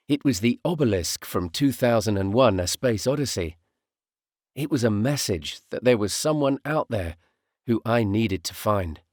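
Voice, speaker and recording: A man reading a first-person audiobook narration in a measured storytelling way, in short, deliberate phrases. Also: mid-range voice, darkish tone, no room sound, studio quality.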